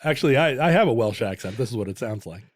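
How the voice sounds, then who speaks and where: A man's voice: medium pitch, expressive, fast talker, no room sound. Man, podcast co-host, casual joking chat, rambling, self-correcting, dry close mic.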